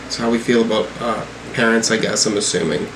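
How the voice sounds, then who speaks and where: A man's voice: lowish voice, fairly quick, a bit bright. Man musing over music, noisy recording. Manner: musing, thinking aloud while reading out lyrics. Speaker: host of music reaction videos. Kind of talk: casual music reaction and commentary.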